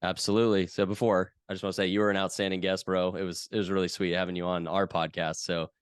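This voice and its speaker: A man in his thirties, a podcast guest from the US West Coast, talking in a relaxed, chatty way with many fillers. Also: low-pitched, fast, smooth, no room sound, clean studio sound. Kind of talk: casual conversation.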